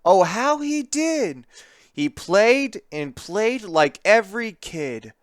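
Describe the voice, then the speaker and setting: Someone reading a kids book out loud, slow and super expressive, theatrical storytime delivery. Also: mid-range voice, no room sound, clean studio sound. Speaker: narrator of a picture book. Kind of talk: expressive children's storybook read-aloud.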